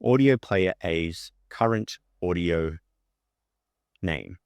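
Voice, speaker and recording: A man with a low-pitched voice presents a step-by-step software walkthrough. He talks informally, thinking aloud as he demonstrates each step. The voice-over is close and dry, with very little room sound. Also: expressive, slow, dry recording. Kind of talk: casual screen-share walkthrough.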